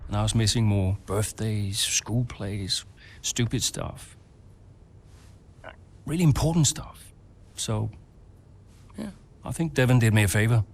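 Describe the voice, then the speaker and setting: A man's voice: lowish voice, unhurried, long pauses, darkish tone. An actor playing a retired racing driver and father, speaking candidly and hesitantly, as if interviewed in a game cutscene. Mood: regretful, candid.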